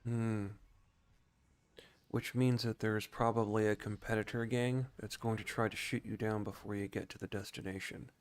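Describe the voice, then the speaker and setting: Low-voiced man streaming a space game. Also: little pitch movement, moderate pace, clean tone. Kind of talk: casual game commentary with role-play voice commands.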